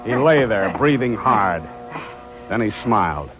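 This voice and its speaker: An actor playing a sardonic private detective narrating a 1940s radio drama, wry and deadpan in wording. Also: male voice, fairly low pitch, a little slow, loud music behind the voice. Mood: tense, urgent.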